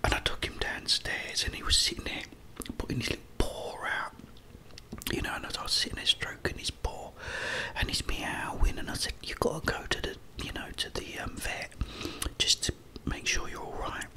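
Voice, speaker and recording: A British man in middle age speaks in a breathy whisper throughout. He chats in a confiding, rambling way, as an ASMR creator talking straight to his viewers. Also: extremely high pitch, huge pitch swings, normal speed, rough recording. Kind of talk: whispered ASMR storytelling, casual chat.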